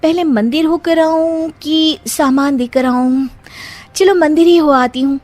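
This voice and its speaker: Cheeky, very high-pitched young daughter-in-law played by a woman. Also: unhurried, darkish tone. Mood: curious. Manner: exclamatory, gleeful. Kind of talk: cartoon story acting.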